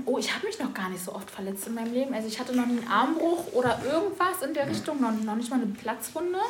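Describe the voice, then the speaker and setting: A woman in her thirties with a slightly hoarse voice, chatting casually and telling lively stories to schoolchildren. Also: mid-range voice, echoey room, background noise. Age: 30s. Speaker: school first-aid staff answering pupils' questions.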